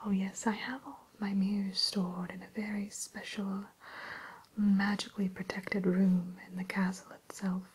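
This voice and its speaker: Woman playing a breathy, whispering evil queen shopkeeper. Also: mid-range voice, level tone, measured pace, darkish tone. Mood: coy, wry. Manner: hesitant, coy, genteel salesperson phrasing. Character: refined, sly evil queen running an enchanted mirror shop. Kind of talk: whispered ASMR roleplay.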